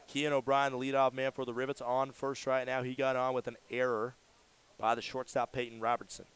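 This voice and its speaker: A man doing live radio play-by-play commentary of a baseball game, in a measured delivery that lifts for the action. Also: mid-range voice, clean tone.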